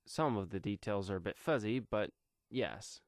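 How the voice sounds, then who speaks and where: A man's voice: fairly low pitch, expressive, moderate pace, no room sound, studio quality. A man voicing a stubborn young male vigilante in a superhero audio story, matter-of-fact and resolute.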